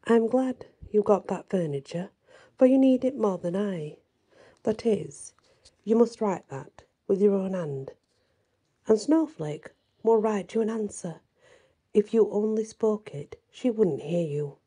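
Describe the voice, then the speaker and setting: Soft, very dark-voiced woman reading a story. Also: mid-range voice, lively intonation, a little slow, husky. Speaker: channel host and story reader.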